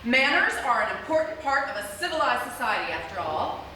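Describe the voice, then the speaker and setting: Woman acting a high, assertive leading lady. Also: big pitch swings, fairly quick, pushed and bright, exaggerated voice. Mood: scolding. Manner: defiant, scolding. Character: assertive, prim young leading lady with a sword. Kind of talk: live radio comedy theatre acting. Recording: echoey live theatre, distant mic, noisy.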